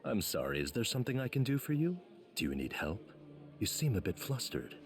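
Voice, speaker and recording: A man playing a calm, polite demon posing as a gentleman in an English video game. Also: fairly low pitch, fairly quick, soft background music. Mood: smoothly polite. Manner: polite, composed.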